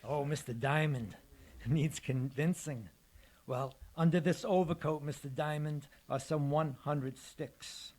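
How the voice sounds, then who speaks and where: An actor playing a polite, menacing, unhinged escaped convict in a radio detective drama, speaking with calm precision. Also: male voice, fairly high pitch, takes it slowly. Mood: smug, cold.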